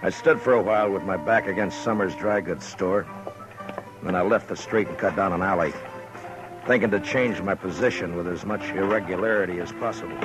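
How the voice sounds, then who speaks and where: Man acting stern western lawman, low voice, terse deadpan, 1950s radio drama, music behind. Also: fairly quick, natural voice, low-quality recording. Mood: grim, steady. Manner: terse, deadpan hard-boiled narration. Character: stern weary Old West marshal, man, narrating his story.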